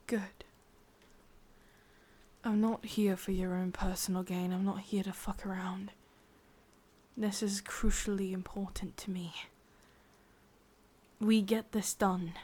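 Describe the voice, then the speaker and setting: A woman performs a sadistic, controlling witch for an ASMR roleplay. Her English-accented voice is breathy and often drops into a full whisper. She takes her time over each phrase, intimate and doting while staying firmly in command. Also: mid-range voice, mellow. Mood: stern, commanding. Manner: slow, deliberate, intimate delivery.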